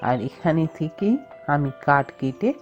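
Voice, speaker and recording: A woman voicing an enterprising, hopeful young village man in a cartoon fairy tale, thinking aloud in a deliberate, expressive way. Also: sits low, muffled recording. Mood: pondering, hopeful. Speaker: cartoon character voice. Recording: music bed behind the voice.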